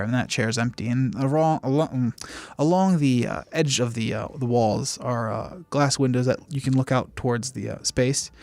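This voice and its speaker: A man serves as game master for a tabletop game podcast. He improvises scenes in a conversational, mid-pitched voice, recorded close and dry. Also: a little mellow, dry recording.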